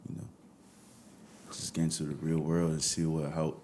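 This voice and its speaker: A man's voice: very deep voice, monotone, moderate pace, darkish tone, slight background noise. A young Nigerian man with a Nigerian accent, talking casually as a musician guest in an interview.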